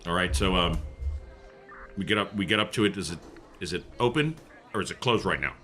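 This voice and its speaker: A man's voice: low voice, lively intonation, a little fast, bright, forceful tone, faint music behind. A man playing a tabletop role-playing game, chatting casually with some background noise.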